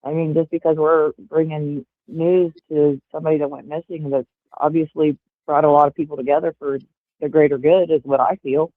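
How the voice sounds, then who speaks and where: A woman's voice: low-pitched, level tone, brisk, dry recording. A woman in her forties, a livestream guest chatting casually over earbuds, rambling with lots of fillers. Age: 40s. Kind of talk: casual chat. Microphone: earbuds over a call. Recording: tinny phone-like call line.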